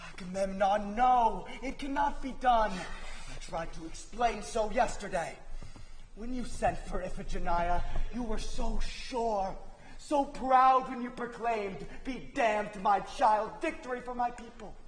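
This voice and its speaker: An actor playing a reflective, earnest old servant man in a stage tragedy, speaking in measured, poetic declamation. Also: high voice. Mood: dismayed reproach. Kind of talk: theatrical stage acting. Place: school theatre stage. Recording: echoey hall, some background noise.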